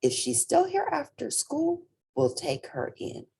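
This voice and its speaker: A woman voicing a gentle, supportive mother with a calm, encouraging manner in a children's storybook. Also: sits high, measured pace, vocal fry, a little hiss or hum, pitched above the performer's own voice, exaggerated voice. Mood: approving.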